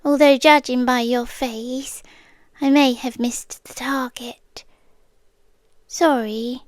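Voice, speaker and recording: Brief: a British woman as a lovesick kidnapper, high and unhurried, murmuring into a dry close mic. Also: fairly even intonation, no room sound. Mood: calm obsessive. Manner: soft-spoken, often slipping into whispers. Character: obsessive romantic young woman kidnapper, calmly sincere. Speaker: ASMR roleplay creator. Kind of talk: ASMR roleplay.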